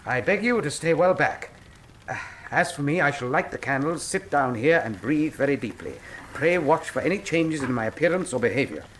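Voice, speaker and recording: A man's voice: fairly high pitch, animated, moderate pace, fairly bright tone, slight background noise, poor audio. An actor playing a commanding, tense male detective in a radio mystery drama, speaking in a formal, precise, imperious manner. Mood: formal, deliberate. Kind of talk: full-cast radio drama acting.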